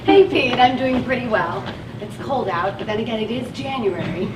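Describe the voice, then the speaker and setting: An actress playing a patient, kindly van driver in a stage play, coaxing and reassuring. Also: female voice, fairly high pitch, animated, moderate pace, natural voice. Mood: friendly. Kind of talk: live stage play acting. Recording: distant echoey stage, audience noise.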